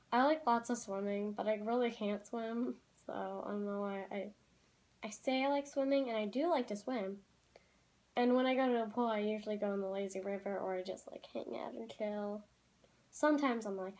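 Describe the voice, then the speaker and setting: A teenage girl vlogging from a room at home, rambling in a casual, chatty way with lots of "like"s and quick apologies. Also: mid-range voice, a little mellow. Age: teens. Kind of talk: casual chat to camera. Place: small room at home, indoors.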